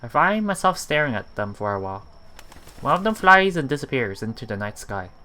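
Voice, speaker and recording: A man reading a visual novel aloud for a let's play, halting and repeating words, breaking off for casual commentary. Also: medium pitch, big pitch swings, sharp tone, a little hiss or hum.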